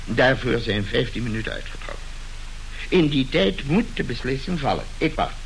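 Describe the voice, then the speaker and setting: A brusque middle-aged tycoon father in a radio play. His delivery swings widely in pitch with a creaky edge, pompous and officious, as though every family matter were a board meeting. The old recording has light background noise. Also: animated, normal speed. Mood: impatient, officious. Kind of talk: Dutch radio play acting.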